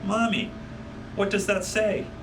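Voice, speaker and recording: Man putting on a high-pitched curious little girl. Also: fairly even intonation, slow, far from the mic, noisy background. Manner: questioning, childlike. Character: curious little girl asking her mother.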